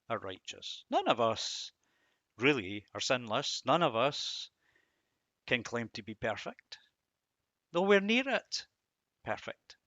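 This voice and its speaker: A man giving an informal devotional commentary on verses, musing and halting, with self-deprecating asides. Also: mid-range voice, sharp tone, dry recording, dull audio. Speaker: host of a Bible reading series.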